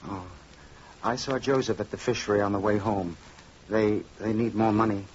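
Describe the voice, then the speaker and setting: An actor playing a grave, careworn middle-aged fisherman father in old-time radio drama style, halting and earnest. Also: lowish voice, steady tone, slightly rough. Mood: weary, concerned. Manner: halting, earnest, repeating words. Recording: dull, noisy vintage radio recording.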